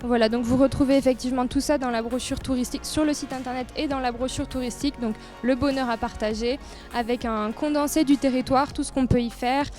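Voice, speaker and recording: A woman's voice: high voice, fairly even intonation, fairly quick, music in the background. A woman giving an informal promotional interview as a tourist office representative, speaking with enthusiasm. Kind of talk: informal promotional interview, describing local trails.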